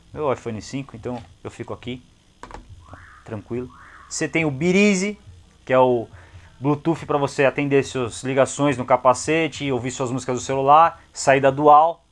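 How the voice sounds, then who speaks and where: A young adult man with a Brazilian accent, giving a casual, colloquial, step-by-step product demonstration and checking in with short tags. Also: medium pitch. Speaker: product reviewer. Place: motorcycle gear shop, indoors.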